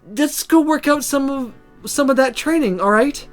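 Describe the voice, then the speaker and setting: A woman's voice: high voice, moderate pace, soft background music, voice pushed up, natural voice. A woman voicing a peppy, pushy school friend in an emphatic, urging way for a visual novel. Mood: urgent, encouraging.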